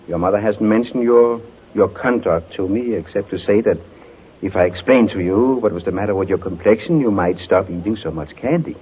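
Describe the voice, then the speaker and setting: An actor playing a kindly, amused older doctor in an avuncular, gently teasing 1940s radio drama style. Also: male voice, lowish voice, fairly quick, husky. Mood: amused, curious. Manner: avuncular, hesitant, chuckling. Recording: muffled old 1940s radio recording.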